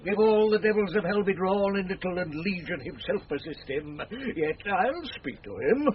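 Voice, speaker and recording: A man's voice: sits high, lively intonation, normal speed. An actor with an English accent playing a roguish, blustering knight in a radio Shakespeare comedy, hearty and mock-solemn as he teases. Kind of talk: classic British radio Shakespeare acting. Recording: muffled, noisy 1970s radio recording.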